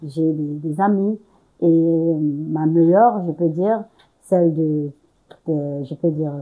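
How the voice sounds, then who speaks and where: A woman's voice: deep voice, slow pace, phone-quality sound. A young woman from Congo answering interview questions, hesitant, searching for words with many fillers and restarts. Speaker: entrepreneur interview guest.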